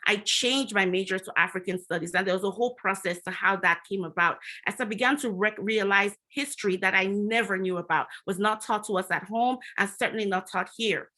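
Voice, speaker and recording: Reflective woman from Nigeria, bright voice. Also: medium pitch, brisk, few pauses. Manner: reflective, many restarts and fillers. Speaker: physician panelist. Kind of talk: conference panel remarks.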